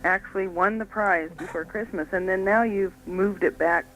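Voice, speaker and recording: A woman with an American accent calls in to a radio show by telephone. Her pitch is medium, and she speaks in a plain, casual conversational way. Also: steady tone, normal speed, a bit bright, poor audio. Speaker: radio phone-in caller.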